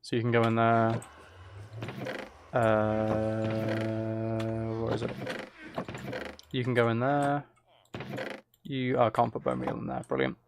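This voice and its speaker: A man with a medium-pitched, gravelly edge to his voice talks himself through a video game. He speaks casually in short, muttered phrases, thinking out loud as he plays. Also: little pitch movement, slow pace, pauses often, noisy background. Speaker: streamer playing a video game. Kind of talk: casual gameplay commentary, thinking aloud.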